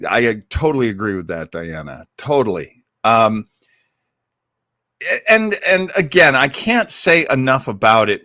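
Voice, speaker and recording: A man's voice: medium pitch, a bit bright, no room sound, studio quality. A man speaking as a host in casual, enthusiastic and digressive commentary to his live audience, with lightly dramatic emphasis. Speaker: host of an old-time radio listening party.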